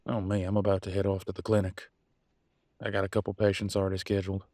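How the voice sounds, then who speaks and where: A man's voice: sits low, completely flat delivery, fast, darkish tone, no echo, studio quality, lightly acted. A man playing a proud, wounded werewolf husband and country vet in a roleplay audio drama, with a drawl and a folksy, bitter, raw way of talking. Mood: gentle, casual. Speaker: voice actor. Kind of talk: dramatic roleplay audio, one side of a couple's argument.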